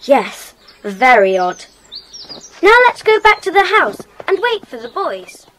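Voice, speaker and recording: A young schoolgirl in a children's mystery play, very high and animated. She is earnest, observant and decisive. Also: slow pace, a little hiss or hum, full character voice. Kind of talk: children's audio drama acting.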